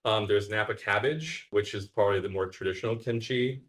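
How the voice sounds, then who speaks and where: A man's voice: fairly low pitch, brisk. A man from Bulgaria answering interview questions in an eager, rambling way, with frequent restarts. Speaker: game developer being interviewed.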